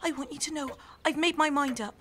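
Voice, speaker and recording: A woman's voice: high voice, fairly quick, background noise, lightly acted. A woman playing an earnest, starry-eyed, breathless young woman in an audio drama. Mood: breathless, determined.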